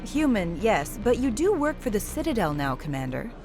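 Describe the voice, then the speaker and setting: A woman's voice: mid-range voice, big pitch swings, normal speed, over music, natural voice. An actress playing a pushy, insinuating female reporter in a video game, probing and smug. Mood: probing, snide. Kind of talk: video game interview dialogue.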